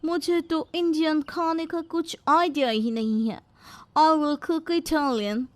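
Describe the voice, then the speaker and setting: A woman's voice: sits high, fairly even intonation, slow pace, no echo. A woman voicing a polite, earnest young foreign daughter-in-law in a dramatised cartoon moral story.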